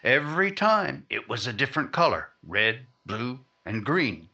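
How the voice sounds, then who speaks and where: An actor playing a dogged, urgent newspaper reporter in an old-time radio crime drama. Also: male voice, mid-range voice, sing-song, a little slow, creaky, pushed and bright, lo-fi sound, full character voice. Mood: earnest, urgent.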